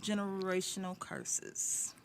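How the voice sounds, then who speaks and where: A woman's voice: medium pitch, monotone, slow. A woman co-hosting a casual podcast, talking frankly and leading the questions. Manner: frank, conversational, leads the questions. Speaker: podcast co-host.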